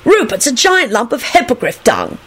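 A woman's voice: a little high, huge pitch swings, moderate pace, a bit bright, breathy edge, voice pushed up, heavily acted. A woman voicing a stubborn, blunt schoolboy who declares things dismissively, reading a story. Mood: stubborn contempt. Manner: declaring, contrary.

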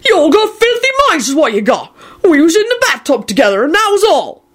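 Woman doing big blustery country guy in a story, shouty, high, rough grammar, swoopy. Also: sing-song, fairly quick. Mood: indignant, furious. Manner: shouting, rustic ungrammatical speech. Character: huge gruff man, blustering and rustic.

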